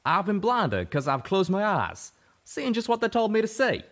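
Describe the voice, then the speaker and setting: A man's voice: high-pitched, huge pitch swings, moderate pace, voice pushed up, full character voice. A man voicing a brash male pegasus, belting out a song. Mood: defiant.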